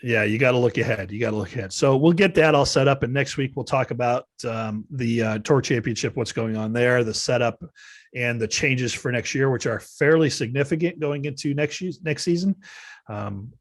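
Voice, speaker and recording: Male podcast host, mid-pitch, explaining things with emphasis. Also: moderate pace. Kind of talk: casual sports chat.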